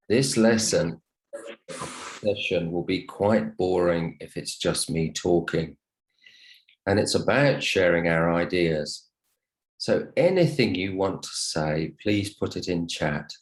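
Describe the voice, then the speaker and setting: A man's voice: sits low, slow pace, pauses often, poor audio. A man teaching English online, talking to his students in a polite, encouraging way. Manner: polite, encouraging, thanks listeners often. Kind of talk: online lesson talk to students. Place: online video call session.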